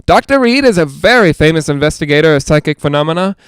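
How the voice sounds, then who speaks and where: A man's voice: on the high side, expressive, fast, clean tone, no room sound, clean studio sound, close to an everyday voice. A man playing a chatty, skeptical radio ghost hunter in a 1940s-style audio drama, with folksy joking patter. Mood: chatty showman.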